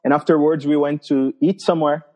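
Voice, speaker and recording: A man from Brazil with a Brazilian Portuguese accent, a missionary guest telling his story in a casual podcast interview, halting and laughing often. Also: a little high, steady tone, a little mellow.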